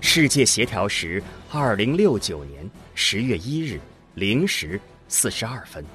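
A man narrating a scripted science fiction audio drama in a dramatic storytelling manner, landing phrase endings deliberately. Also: fairly low pitch, big pitch swings, sharp tone. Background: music under the voice.

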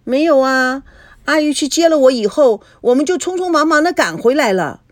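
A woman voicing an outspoken little girl in a story, loud, whining and petulant. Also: sits high, animated, normal speed, no echo, clean studio sound, voice pushed up. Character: little girl, pouty, demanding, outspoken daughter.

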